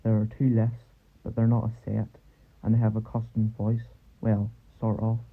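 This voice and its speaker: Male tour narrator, informal, rather low and monotone, on a muffled recording. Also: moderate pace. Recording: muffled lo-fi mic, loud noise.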